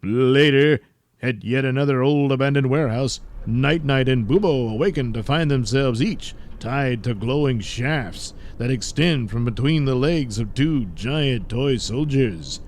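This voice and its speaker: A man narrates a comic serial from a script. His pitch is average, and the delivery is dramatic storytelling that sets up each scene. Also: unhurried, darkish tone, slight background noise.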